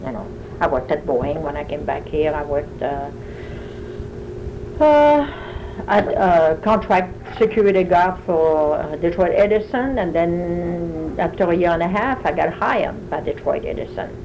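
Older French-accented woman, low voice. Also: animated, a little slow, a little mellow, muffled recording, a little hiss or hum. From France. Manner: rambling, conversational, many restarts. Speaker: interviewee. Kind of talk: oral history interview.

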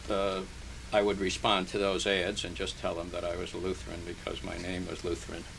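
Older man, low gravelly voice, halting. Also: monotone, a bit bright. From Hungary, raised in New Jersey. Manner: slow, halting, many pauses and fillers. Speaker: interviewee. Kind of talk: oral history interview. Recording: noisy archival tape recording.

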